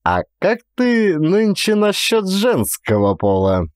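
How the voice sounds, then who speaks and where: Man acting a jovial, high-pitched teasing visitor. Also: takes it slowly, no echo, studio quality, heavily acted. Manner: bantering, animated. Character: jovial, teasing male visitor, chatty and familiar.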